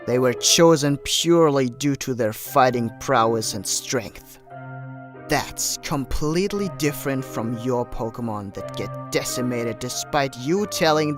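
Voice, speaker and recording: Guy voicing cold arrogant anime trainer, looks down on everyone, shouty at times, loud music under it. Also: mid-range voice, natural voice. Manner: scornful, lecturing, bursts into shouted commands. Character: cold, ruthless male monster trainer, contemptuous and driven. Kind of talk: anime-style fan dub acting. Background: background music.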